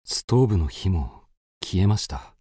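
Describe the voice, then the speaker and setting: An actor playing an earnest, courteous Japanese suitor, speaking politely and intensely in a radio drama. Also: male voice, low-pitched, lively intonation, moderate pace, dark tone, slightly rough, dry recording. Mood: subdued.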